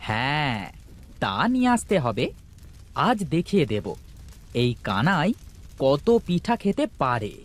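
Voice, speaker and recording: A man voicing a boastful, greedy village lad in an animated story, bragging as he goes. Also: a little high, measured pace, pauses often. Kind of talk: animated cartoon story voice acting. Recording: music behind the voice.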